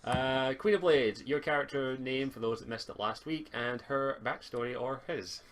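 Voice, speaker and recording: A man's voice: medium pitch, normal speed, a bit bright. A man chatting casually as game master and host of a tabletop roleplay session over a group voice call. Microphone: computer mic on internet voice call. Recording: noisy home mic on group voice call.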